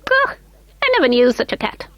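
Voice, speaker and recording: A squeaky, sly little elfin man, put on by a woman reading a storybook, teasing in a high little voice that leaps up and down in pitch. He chuckles and talks quickly. The sound is close and dry. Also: sing-song, dry recording.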